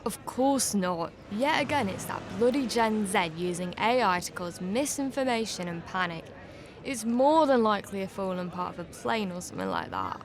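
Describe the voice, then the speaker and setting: A woman's voice: medium pitch, big pitch swings, normal speed, crowd noise. A young woman playing a grumpy, dismissive sceptic answering a street interview in a drama. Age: young adult. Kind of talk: vox pop acting in youth drama.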